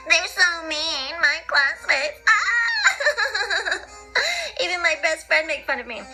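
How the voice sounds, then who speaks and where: A woman voicing an excitable, dramatic young schoolgirl in a gushing, giggly, gasping storytelling manner. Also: very high-pitched, takes it slowly, soft background music, exaggerated voice, low-quality recording. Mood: upset. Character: Camilla, excitable dramatic young schoolgirl who secretly loves lima beans. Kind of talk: animated children's storytelling in character.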